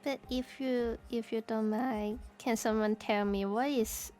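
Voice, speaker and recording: Woman, Hong Kong Cantonese accent, casual streaming chat, slow and halting, soft music underneath. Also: a little high, steady tone. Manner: halting, searching for words, frequent restarts. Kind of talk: casual chat while drawing.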